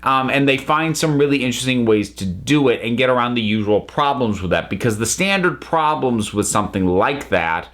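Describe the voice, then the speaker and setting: Guy doing a casual review, medium pitched voice, chatty, thinks out loud and cuts himself off to reword stuff. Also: expressive, fairly quick, few pauses. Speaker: reviewer, channel host. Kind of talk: casual spoken audio drama review.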